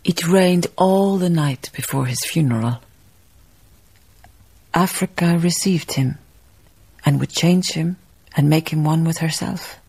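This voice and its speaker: An actress playing a grieving, dignified woman memoirist in a radio drama, speaking reflectively in a breathy, husky voice. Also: deep voice, slow pace, long pauses, darkish tone, full character voice. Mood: grave, grieving.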